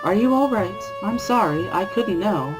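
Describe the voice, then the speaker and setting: A performer voicing a composed, caring schoolgirl in a dramatic reading, with gentle and courteous manners. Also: mid-range voice, animated, normal speed, over music, pitched above the performer's own voice, natural voice. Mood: concerned.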